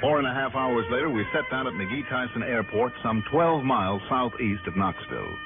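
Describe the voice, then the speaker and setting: An American actor playing a wry, unflappable insurance investigator in a 1960s radio drama, breezy and clipped. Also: male voice, medium pitch, sing-song, moderate pace. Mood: businesslike. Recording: tinny band-limited old radio recording, music.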